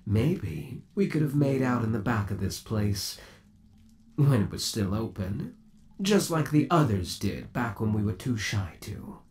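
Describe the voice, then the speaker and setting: A man performs as a menacingly doting undead boyfriend in an ASMR horror roleplay. His low voice moves slowly, sweet and possessive, with theatrical swings up and down in pitch. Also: animated, darkish tone. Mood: eerily calm. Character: undead yandere boyfriend trapped in springlock suit, menacingly doting. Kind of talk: ASMR roleplay, scripted monologue to the listener.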